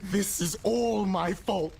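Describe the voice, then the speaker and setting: A man playing a guilt-stricken, grieving survivor in a video game, halting and anguished. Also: high voice, gravelly, darkish tone, slight background noise, exaggerated voice. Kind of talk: dramatic video game voice acting.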